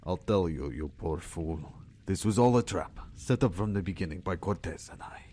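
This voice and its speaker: A man playing a sadistic Russian ex-intelligence captain in an audio drama, taunting and menacing with theatrical, drawn-out lines. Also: low-pitched, expressive, dark tone. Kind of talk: audio drama villain acting.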